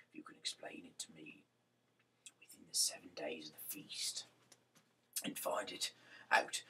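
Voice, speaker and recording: Very deep, gravelly man reading haltingly. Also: bright, forceful tone, far from the mic, rough recording. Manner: halting, stumbling delivery with restarts. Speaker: reader, host of a Bible-reading series. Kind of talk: amateur scripture read-aloud.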